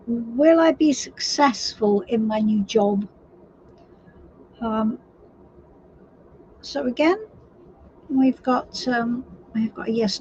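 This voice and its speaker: Woman reading tarot, husky croaky voice but pitched high, slow easy talk, kinda dull muffled sound w faint hiss. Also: darkish tone. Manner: unhurried, reassuring, thinking aloud. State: hoarse. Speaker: tarot reader.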